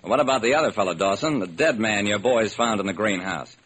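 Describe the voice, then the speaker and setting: An actor playing a wry, sharp-witted detective who narrates and acts in an old-time radio drama. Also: male voice, lowish voice, brisk, husky. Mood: inquisitive. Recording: noisy vintage radio recording.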